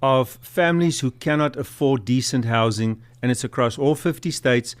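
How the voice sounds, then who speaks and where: A man's voice: medium pitch, normal speed, smooth. A middle-aged man from South Africa with a light South African accent, telling stories as a guest on a talk show. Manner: storytelling, earnest, many fillers. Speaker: guest, nonprofit executive director. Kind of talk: casual interview chat.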